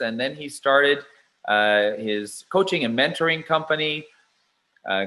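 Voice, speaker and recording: A man hosting an online spirituality conference, effusive and halting with many fillers. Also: mid-range voice, pushed and bright. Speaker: event host.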